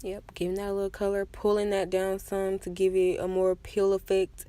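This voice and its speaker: A woman's voice: mid-range voice, monotone, moderate pace, mellow, no room sound. A woman giving a casual, chatty makeup tutorial voice-over with self-deprecating asides. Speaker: makeup vlogger.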